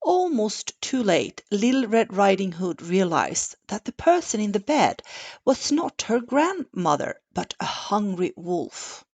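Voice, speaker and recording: A woman narrating a bedtime story in a gentle, deliberate, expressive manner, like a mother reading to a child. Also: mid-range voice.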